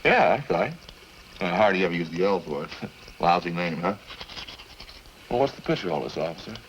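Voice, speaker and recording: An actor with an American accent playing a defensive, slangy small-time murder suspect in a 1950s radio drama, colloquial and grumbling. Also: male voice, sits low, expressive, moderate pace, highs cut off. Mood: evasive, casual. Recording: old radio recording, faint noise.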